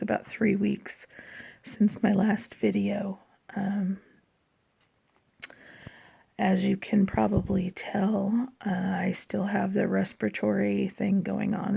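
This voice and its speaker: Hoarse, raspy, tired woman. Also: medium pitch, warm tone. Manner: slow, halting and tired, with many pauses and fillers. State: hoarse from a lasting cough. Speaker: vlogger. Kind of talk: personal health update.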